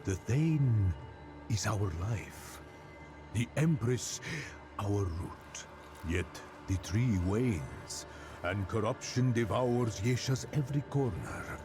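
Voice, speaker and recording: A solemn old storyteller of a dying forest folk for a fantasy game. The voice is fairly low and slow, musing and halting as it goes. Clear music plays underneath. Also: male voice, animated. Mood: solemn, devout. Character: grave, forgetful elder storyteller of a dying forest people, devout. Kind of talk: theatrical fantasy game dialogue.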